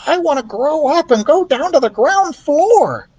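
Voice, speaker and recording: A man voicing an eager, impulsive young male mouse in an excitable, earnest storybook read-aloud. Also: very high voice, a little slow, dull audio, voice pushed up.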